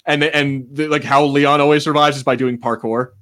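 A man's voice: on the high side, fairly even intonation, brisk, fairly bright tone. A man co-hosting a casual podcast, talking in a rambling, slangy and sometimes sweary way. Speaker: podcast co-host. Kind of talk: casual pop-culture chat.

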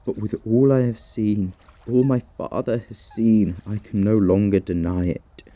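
A man's voice: fairly low pitch, steady tone, unhurried, dark tone, lo-fi sound, close to an everyday voice. A man playing a remorseful, fearful companion. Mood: grave. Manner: solemn, old-fashioned speech rising to desperate pleading. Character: guilt-torn male companion, fearful and remorseful. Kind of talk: archaic dramatic dialogue.

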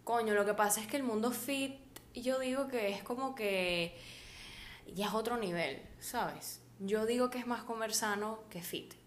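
A woman's voice: on the high side, unhurried, a little hiss or hum. Woman, Maracaibo Venezuelan accent, young adult, chatty co-host, casual talk. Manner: chatty, laughs easily, uses fillers like "o sea". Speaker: podcast co-host. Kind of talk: casual chat.

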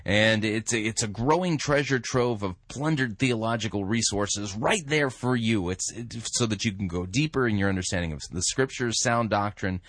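An American man hosting a casual radio show, energetic and rambling, often breaking off and restarting his sentences. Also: on the low side, moderate pace, hardly any pauses, no echo, clean studio sound. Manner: energetic, rambling, self-interrupting. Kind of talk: casual listener-supported radio talk.

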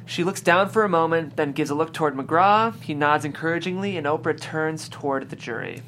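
A narrator reading screenplay stage directions in an even, descriptive manner. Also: medium pitch, a little fast, slight background noise.

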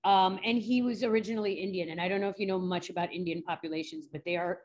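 A woman's voice: lowish voice, steady tone, fast talker, a little mellow. A woman from New York, a forensic psychologist chatting candidly in an interview, laughing often. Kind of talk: casual interview chat.

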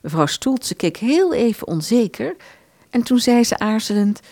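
A woman narrating a literary radio play in an expressive storytelling manner. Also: on the high side, unhurried, dry recording, studio quality.

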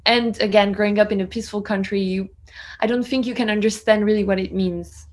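An earnest young woman in her twenties, a reporter from France, talks through her answers in a thoughtful, measured way. She leans on "you know" as she goes and keeps a steady, middling pitch. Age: 20s. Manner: earnest, reflective, fluent with "you know" fillers. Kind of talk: conversational interview answers.

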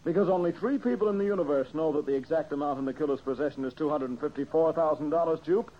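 An actor playing a brisk young amateur detective and host in an old-time radio mystery, methodical and politely exasperated. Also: male voice, fairly high pitch, natural voice. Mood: accusing. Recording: dull, hissy old radio recording.

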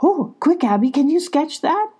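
An eager little storybook girl, voiced by a woman, high in pitch with a soft, mellow tone. She sounds curious and adventurous, insisting and exclaiming as she goes. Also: very animated, moderate pace.

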